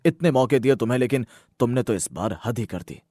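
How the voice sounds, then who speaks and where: A man voicing a stern, fair-minded older father with a measured, reproachful manner, in a Hindi moral story cartoon. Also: mid-range voice, expressive, mellow, slightly rough, dry recording, studio quality. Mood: stern, disappointed.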